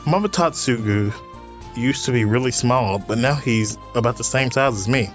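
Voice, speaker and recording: A man's voice: medium pitch, moderate pace. A man narrates a visual novel in a casual let's play style. He stumbles a little as he reads and drops in amused asides, with music playing behind him. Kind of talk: casual read-aloud commentary of a visual novel.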